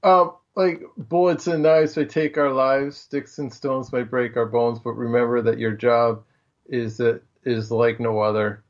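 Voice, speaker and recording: A man's voice: medium pitch, flat delivery, measured pace. A man co-hosting a podcast in casual, informal chat, repeating words for emphasis, on slightly dull lo-fi audio. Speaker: podcast co-host.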